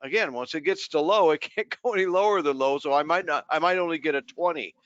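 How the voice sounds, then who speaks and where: A man's voice: a little high, fast, a bit bright, no echo. A man giving casual technical advice as a co-presenter in a live chat, explaining things in informal, folksy phrasing. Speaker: technical co-presenter, trainer for a pump maker. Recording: dull lo-fi online call audio.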